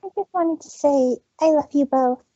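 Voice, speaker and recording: A woman's voice: high-pitched, normal speed, dark tone, no echo, lo-fi sound, close to an everyday voice. A woman voicing a timid, loving young filly in a story reading. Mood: timid, tender.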